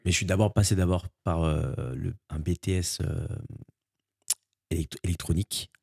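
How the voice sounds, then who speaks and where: A man's voice: sits low, steady tone, moderate pace, gravelly, a little mellow, breathy edge, dry recording, clean studio sound. A young man from French Guiana, a podcast guest talking casually and hesitantly with many fillers. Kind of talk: casual interview chat.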